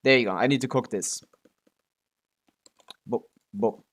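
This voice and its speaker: A man's voice: medium pitch, measured pace. A male streamer talks casually through his game in an ordinary mid-range voice. He mutters half to himself and half to his viewers, with loose, offhand swearing. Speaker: live streamer. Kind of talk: casual gaming livestream chatter.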